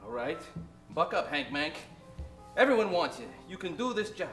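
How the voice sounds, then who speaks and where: A man's voice: a little high, very animated, moderate pace, fairly bright tone, echoey room, faint music behind. A man playing a down-on-his-luck fellow in an old-time radio comedy, hammy and full of period slang. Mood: hopeful. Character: grumbling, down-on-his-luck man trying to buck up.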